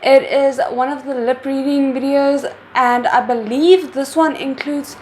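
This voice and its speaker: Chatty excited woman reacting on camera. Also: sits high, measured pace, a little hiss or hum. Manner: excited, chatty, thinks aloud. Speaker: reaction video host. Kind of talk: casual chat.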